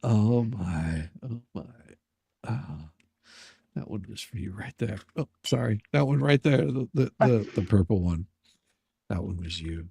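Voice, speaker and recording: A man with a fry-laden, very animated voice chats casually as a stream host. He reads messages aloud with sarcastic, joking asides and often repeats words as he goes. The sound is dry, with little room in it. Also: on the low side, measured pace, no echo.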